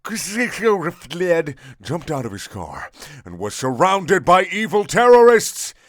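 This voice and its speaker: A man's voice: sits high, big pitch swings, slow, dry recording, clean studio sound. A man narrating a comic action story in stilted, broken English with dropped articles.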